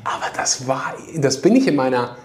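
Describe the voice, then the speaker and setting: A man hosting a podcast in casual conversation, talking quickly with many restarts and laughing things off. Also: medium pitch, animated, a little mellow. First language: Russian. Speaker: podcast host. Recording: echoey room.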